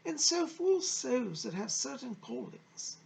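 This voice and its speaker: A woman's voice: high-pitched, sing-song, takes it slowly, vocal fry, darkish tone, full character voice. A woman voicing an effusive, motherly female spirit of a bygone era, teaching in a theatrical, genteel, endearing manner. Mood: earnest, encouraging.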